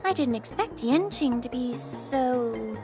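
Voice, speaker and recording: A woman voicing a polite, thoughtful young female investigator in video game dialogue, with a gentle manner. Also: on the high side, animated, slow pace. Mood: grateful, friendly. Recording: music behind the voice.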